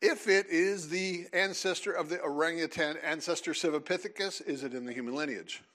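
A man leading a seminar before a live audience, talking in an animated, teasing way and calling on listeners. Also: fairly high pitch, brisk. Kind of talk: lively creationist talk with audience.

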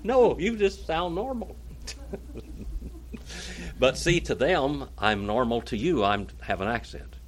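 A man's voice: mid-range voice, expressive, takes it slowly, long pauses, slight background noise. A man from Oklahoma with an Oklahoma accent, teaching a Bible class in a folksy way and calling on listeners by name. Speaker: pastor.

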